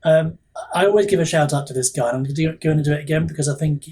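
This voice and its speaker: A man's voice: fairly high pitch, racing, darkish tone, husky. A man chatting casually as an author and podcast guest, thinking aloud in a hesitant way. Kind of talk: casual chat.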